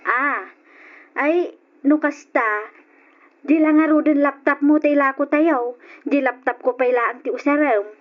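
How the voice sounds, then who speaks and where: A woman's voice: high-pitched, a little slow, long pauses, narrow, tinny audio. A woman playing a meek, hard-up mother in an old radio drama, pleading and apologetic, with an Ilocano accent. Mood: resigned, gentle.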